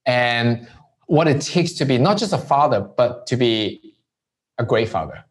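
Hong Kong-raised male entrepreneur guest, chatty storyteller, keeps asking "right?", mid-pitched voice. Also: measured pace. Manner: relaxed storytelling with frequent "right?" tags. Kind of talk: conversational interview.

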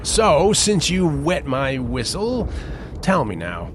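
A man's voice: fairly high pitch, wildly swooping pitch, slow pace, heavily acted. An actor playing an eager, talkative newspaperman in a western audio drama, with a hesitant, stammering, wordy delivery. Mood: eager, inquisitive. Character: eager, jittery, talkative male newspaperman out west. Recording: noisy ambience behind the voice.

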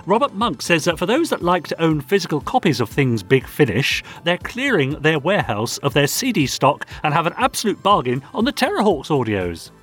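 A man's voice: a little high, very animated, fairly quick, few pauses, fairly bright tone, breathy edge, faint music behind. A British man co-hosting a podcast, chatting casually and reading out listener messages in a teasing, chatty manner. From United Kingdom. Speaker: podcast co-host.

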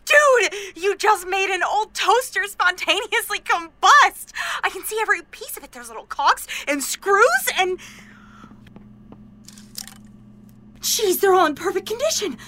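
A young woman playing an excitable, caring, pushy confidante who talks in an informal, earnest, coaxing way in a modern audio drama. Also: extremely high pitch, normal speed, hardly any pauses, a little hiss or hum, full character voice. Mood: amazed, thrilled.

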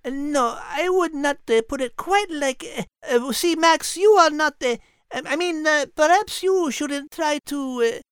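High-voiced, refined French cat. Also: female voice, unhurried, dry recording, clean studio sound. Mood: flustered, tactful. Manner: prim, hesitant, carefully worded. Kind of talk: children's audio story character acting.

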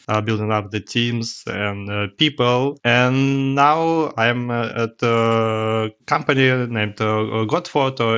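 A man from Russia with a Russian accent answers interview questions conversationally, in halting, hesitant non-native phrasing. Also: lowish voice, a bit bright. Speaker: podcast guest, tech executive.

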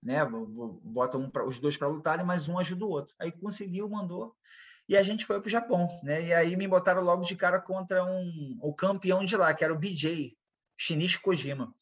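A Brazilian man talks through his fighting past in a relaxed interview, circling back and repeating words as he acts out what others said. His voice sits a little high and has a husky edge. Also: fairly quick, lo-fi sound. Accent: Brazilian Portuguese. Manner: rambling, repeats himself, quotes others in dialogue. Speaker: MMA fighter, guest. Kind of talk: casual interview storytelling.

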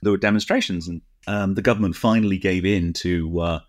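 A middle-aged or older British man co-hosting a casual podcast, explaining things in a halting, discursive way with frequent asides. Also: deep voice, fairly even intonation, no room sound, studio quality. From United Kingdom. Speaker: podcast co-host.

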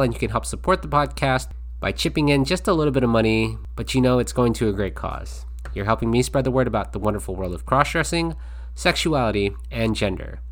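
A man hosting a casual podcast, animated and quick to laugh as he talks. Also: medium pitch. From United States. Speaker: podcast host.

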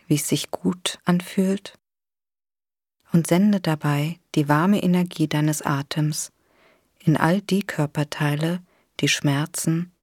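A woman leading a guided imagery relaxation exercise in a soothing, gentle, unhurried way with long pauses. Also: low-pitched, no room sound, clean studio sound. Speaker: guided meditation instructor.

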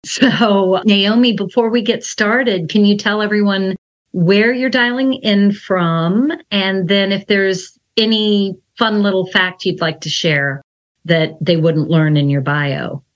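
A woman's voice: mid-range voice, steady tone, takes it slowly, dull audio. A middle-aged woman hosting a podcast, moving from a scripted intro into an enthusiastic, affirming interview chat. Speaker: podcast host.

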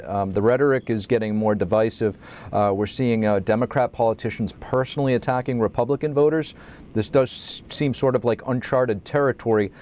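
Male news host, steady scripted intros and questions, mid pitch. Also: slight background noise. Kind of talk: interview hosting.

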